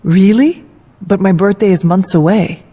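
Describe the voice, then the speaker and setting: An actress playing a reserved, earnest heroine in a video game, speaking in a measured, formal way with dry humour. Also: female voice, medium pitch, mellow, slightly rough, natural voice. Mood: fond, curious. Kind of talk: video game cutscene acting.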